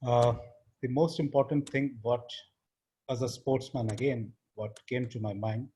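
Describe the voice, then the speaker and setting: A man in his fifties from northern India with an Indian English accent, speaking as an interview guest in a halting way, often trailing off quietly. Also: medium pitch, steady tone, slightly rough. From India (Uttar Pradesh). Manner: halting, frequent fillers and restarts, trails off quietly. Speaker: interview guest, business founder. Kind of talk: casual interview talk.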